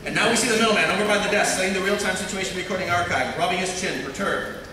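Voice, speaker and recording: A man's voice: high voice, rapid-fire. A man reading stage directions as scripted narration at a live table read. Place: large convention panel room, on PA. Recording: echoey hall, far off, crowd noise. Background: audience crowd.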